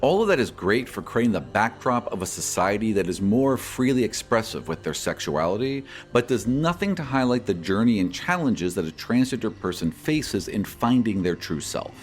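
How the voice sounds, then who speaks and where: Male podcast host, fairly low and very expressive, reading mailbag questions and prepared explanations over soft background music. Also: normal speed, few pauses. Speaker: podcast host and game master answering fan questions. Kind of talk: reading fan questions and scripted answers.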